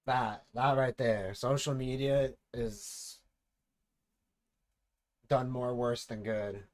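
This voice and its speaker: A man's voice: mid-range voice, fairly even intonation, takes it slowly, darkish tone. Casual swearing streamer man. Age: late 20s. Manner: loose, swearing, thinking aloud. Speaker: streamer reacting to videos. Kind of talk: casual reaction commentary.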